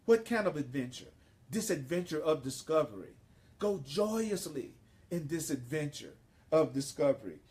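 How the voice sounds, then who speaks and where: A man giving an inspirational spiritual sermon, speaking emphatically and deliberately with repeated phrases. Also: a little high, sing-song, darkish tone. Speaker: spiritual teacher leading a course.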